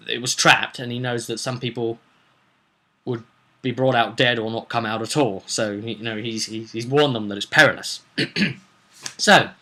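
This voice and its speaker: Bright-voiced man rambling about his roleplay game. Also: mid-range voice. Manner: chatty, slips into acted quotes of characters. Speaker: game master recounting a tabletop roleplay session. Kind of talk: casual rambling vlog storytelling.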